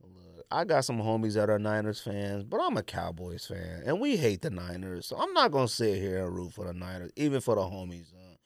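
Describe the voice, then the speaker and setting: An American man talks casually as a sports podcast co-host. His pitch swings widely as he makes opinionated, slangy points in an animated manner. The sound is close and dry, with very little room. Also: fairly quick, vocal fry, no room sound.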